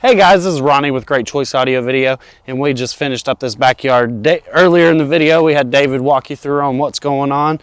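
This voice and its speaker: An American man with a smooth, clear voice at a medium pitch explains an installation casually. He sounds like an installer giving an informal tour outdoors, with little echo around his voice. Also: brisk, hardly any pauses. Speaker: audio-video installer presenting a finished project. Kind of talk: casual walkthrough vlog. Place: outdoors in a residential backyard.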